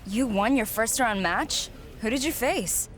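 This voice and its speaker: A woman's voice: a little high, expressive, unhurried. An actress playing a cocky, defiant young female wrestling champion in a video game, speaking bluntly and confidently. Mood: casual. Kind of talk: scripted video game cutscene acting.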